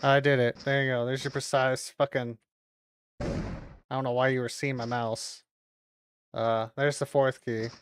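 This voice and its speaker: A woman's voice: very deep voice, little pitch movement, measured pace, long pauses, smooth. A woman streaming a video game, commenting casually with a lot of exasperated swearing and exclaiming. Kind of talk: casual live gameplay commentary.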